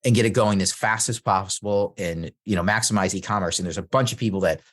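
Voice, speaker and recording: A man's voice: on the low side, fast. A man hosting an interview, agreeing with his guest and building on the guest's points in easy conversation.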